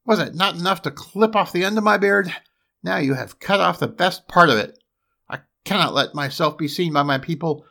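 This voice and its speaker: A spiteful little storybook dwarf, pitched far above a normal male voice. He is shrill and ungrateful, exclaiming in wide swoops with a gravelly edge. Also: sing-song, moderate pace, few pauses, a bit bright, exaggerated voice. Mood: indignant, furious. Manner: scolding, exclamatory, pleading when afraid. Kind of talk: storybook character voice.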